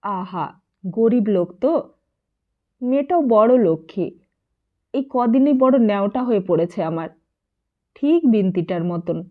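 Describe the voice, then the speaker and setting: Woman narrating a novel through muffled, phone-like sound. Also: on the high side. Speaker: audiobook narrator. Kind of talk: literary audiobook narration of a novel.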